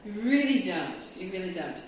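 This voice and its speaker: A woman's voice: mid-range voice, sing-song, normal speed, slight background noise. A woman from California leading a classroom discussion as an English teacher trainer, chatty and checking in with her group. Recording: roomy echo, mic from a distance.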